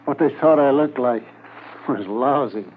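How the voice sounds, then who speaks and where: An actor in a 1950s radio drama playing a tired, sneering wounded bank robber under arrest. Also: male voice, mid-range voice, measured pace, lightly acted. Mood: weary, brooding. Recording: lo-fi 1950s radio transcription, faint hiss.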